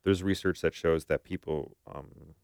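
A man's voice: very deep voice, a little slow, creaky, darkish tone, no room sound, clean studio sound. A man hosting a podcast in a casual conversational style, thinking aloud and often checking for agreement. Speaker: podcast host.

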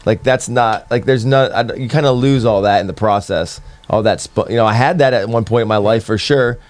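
A man speaking as a podcast guest in calm, thoughtful casual chat, with many fillers. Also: medium pitch, a little fast, no room sound.

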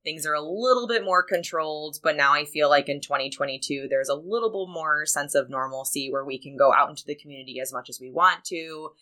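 A woman's voice: low voice, sharp tone. A woman, answering as an upbeat guest in a podcast interview. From Peru, Illinois, United States. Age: 30s. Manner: upbeat, quick to laugh. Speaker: podcast guest, small business owner and insurance agent. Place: studio in an office.

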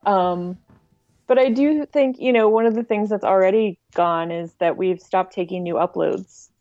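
Mellow woman answering casually, slightly dull sound. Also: medium pitch, normal speed, dry recording. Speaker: podcast guest.

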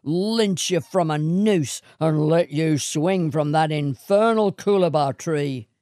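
Woman acting a low, menacing outback patriarch. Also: slow pace, mellow, no echo, clean studio sound. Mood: threatening. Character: stern, threatening father, outback sheep station owner.

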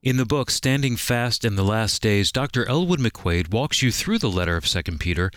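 A man reading scripted promotional narration as a radio announcer, measured and clearly enunciated on a close studio mic. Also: lowish voice, expressive, fairly bright tone.

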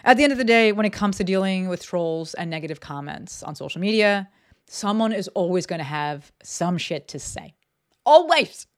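A woman's voice: on the low side, animated, moderate pace. A woman talks into a desk microphone for her own podcast. She is blunt and unfiltered, swearing freely. Her delivery is loose and conversational, full of "like" and "right?". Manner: blunt and profane, lots of fillers. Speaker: podcast host. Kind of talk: casual solo podcast talk.

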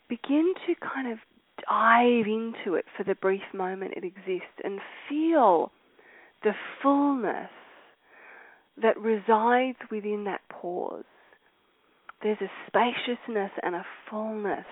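A woman leading a guided meditation, speaking slowly and softly and now and then trailing into a whisper. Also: mid-range voice, dry recording. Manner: slow, soft and soothing, drifting into whispers. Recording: dry, tinny phone-like sound.

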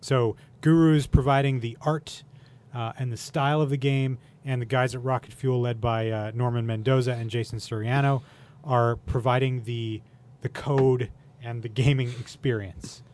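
A man's voice: mid-range voice, steady tone, moderate pace, darkish tone, slight background noise. A man hosting a podcast in a casual, chatty style. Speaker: podcast host. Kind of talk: casual chat.

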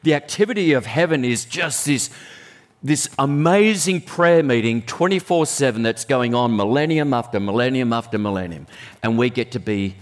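A man preaching a sermon in a conversational, animated way, breaking into sudden high exclamations. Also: moderate pace.

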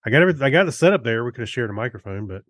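A man's voice: mid-range voice, lively intonation, rapid-fire, fairly bright tone, dry recording, studio quality. An American man chatting casually as a podcast co-host, with an easygoing, folksy way of talking. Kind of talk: casual chat.

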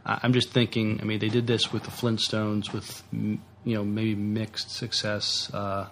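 A man co-hosting a podcast, chatting casually in halting, hesitant phrases. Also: fairly low pitch, monotone, fairly bright tone, slight background noise. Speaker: podcast co-host. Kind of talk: casual discussion of sci-fi news.

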